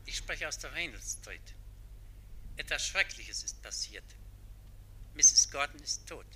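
A grave doctor in a radio drama, really sharp bright voice, formal, precise. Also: male voice, mid-range voice, expressive, unhurried, breathy edge, heavily acted, low-quality recording. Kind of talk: radio play acting.

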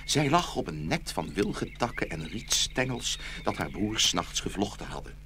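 A man's voice: lowish voice, sing-song, normal speed, bright, forceful tone, a little hiss or hum. A man narrating a fairy tale as a scripted storyteller.

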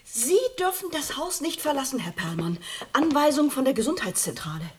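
An actress in a German radio play voicing a frightened, fretful wife and mother who speaks haltingly and repeats herself. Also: sits high, sing-song, crowd noise. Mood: panicky. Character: distraught wife and mother, frightened, fretful, suspicious of her lodger.

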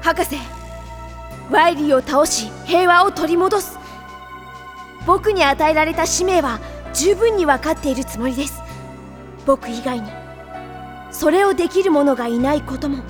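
A woman's voice: high-pitched, lively intonation, measured pace, long pauses, faint music behind. A woman voicing a brave, earnest young robot boy hero in an anime audio drama, speaking with resolute conviction.

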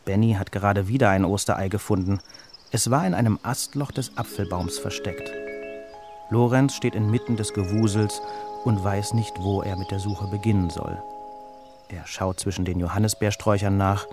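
A man reading a children's story as its narrator, with an expressive storytelling delivery. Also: on the low side, normal speed, over music.

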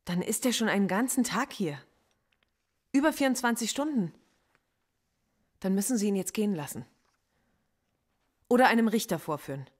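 A woman's voice: mid-range voice, expressive, normal speed, long pauses, natural voice. An actress playing a defiant, articulate female philosophy professor under interrogation in a radio play. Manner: defiant and articulate, precise and cutting.

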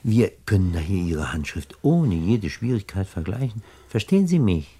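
An actor playing a middle-aged, smooth and fussily courteous gentleman crook in a German radio play, with ornate politeness and hesitant repetitions. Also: sits low, sing-song, normal speed, heavily acted. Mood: oily, courteous.

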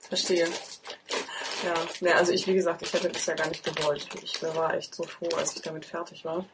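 A woman's voice: fairly low pitch, brisk. Amused young woman over a noisy background. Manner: relaxed, amused, laughs easily. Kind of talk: casual chat. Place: home, at a table over tea. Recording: clear background noise.

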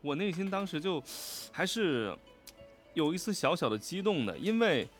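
A man speaking as a voice actor being interviewed, in casual, unscripted and expressive conversation with emphatic delivery. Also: fairly high pitch, normal speed, soft background music.

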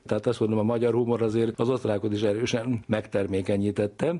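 A man's voice: fairly low pitch, flat delivery, mellow. A man giving reflective, scholarly explanations in an interview, speaking discursively as an expert.

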